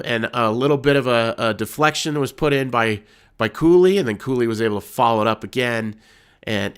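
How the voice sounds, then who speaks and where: A man with a slightly hoarse voice from being ill, hosting a live postgame hockey show. He talks in a loose, rambling way, full of fillers and restarts, at an ordinary middle pitch. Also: fairly bright tone. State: hoarse from illness. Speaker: hockey podcast host. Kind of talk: casual postgame analysis.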